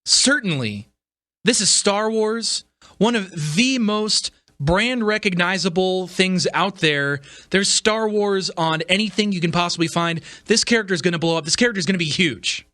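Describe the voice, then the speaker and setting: A man's voice: sits high, normal speed, pushed and bright, dry recording, studio quality. A man, perhaps middle-aged, giving a casual, opinionated podcast monologue as host, stressing his points emphatically. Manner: emphatic, repeats phrases for stress.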